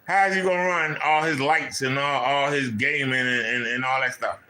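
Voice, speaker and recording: A man's voice: medium pitch, steady tone, normal speed, bright, forceful tone, echoey room. A man from Maryland hosting a commentary channel, mocking someone in a clip with incredulous, repetitive questions. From Maryland, USA. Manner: incredulous, mocking, repeats points. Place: home room.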